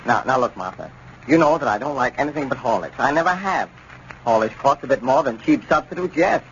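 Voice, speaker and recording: Fussy American husband, muffled sound. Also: male voice, medium pitch, expressive, fairly quick, fairly bright tone. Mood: lecturing. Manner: insistent, lecturing. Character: fussy, exasperated, bossy husband. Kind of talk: 1930s radio advertisement acting. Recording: muffled, hissy 1930s radio recording.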